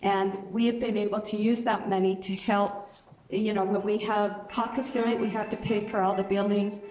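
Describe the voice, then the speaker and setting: امرأة بصوت رتيب من بعيد في قاعة مرتدة. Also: female voice, medium pitch, flat delivery, moderate pace, a little mellow. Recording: distant, echoey, faint noise.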